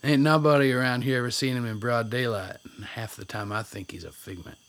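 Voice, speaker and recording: A man's voice: medium pitch, steady tone, a little fast, a bit bright, close to an everyday voice. An actor playing a mischievous, tough backwoods country man with folksy phrasing in a radio play. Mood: wry, gruff.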